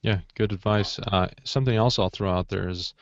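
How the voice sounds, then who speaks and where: A man's voice: fairly low pitch, fairly even intonation, normal speed, creaky, no echo. Casual male podcast host, dry muffled sound. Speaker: podcast host asking questions. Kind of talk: casual panel chat.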